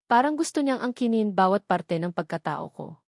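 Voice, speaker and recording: A woman's voice: medium pitch, animated, moderate pace, gravelly, no echo. Filipino woman narrating romance, dry close sound. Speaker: story narrator. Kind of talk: first-person dramatic romance narration.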